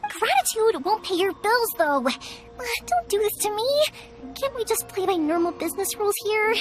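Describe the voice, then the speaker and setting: Eager young game heroine; very high, animated, chatty, friendly and earnest. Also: female voice, moderate pace, music in the background. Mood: earnest protest. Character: cheerful, eager young woman fireworks maker. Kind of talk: animated video game voice acting.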